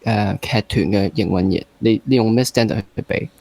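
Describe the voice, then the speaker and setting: A man's voice: on the low side, moderate pace, warm tone. A young man with a Hong Kong Cantonese accent hosting a conversational interview, mixing English words into his speech. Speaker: podcast host.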